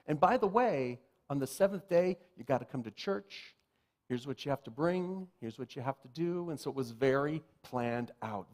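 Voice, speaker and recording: A male minister preaching a sermon to his congregation in a conversational way, with self-deprecating jokes, slowing down whenever he reads scripture. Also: mid-range voice, darkish tone. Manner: slows down to read scripture. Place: church sanctuary.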